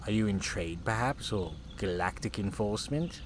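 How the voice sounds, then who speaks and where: Chief officer of an alien world played by a man: lowish voice, courteous, reserved, measured and formal, for sci-fi radio drama. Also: animated, slight background noise, natural voice. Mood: politely probing. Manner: polite, measured, formal and apologetic. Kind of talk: sci-fi audio drama acting.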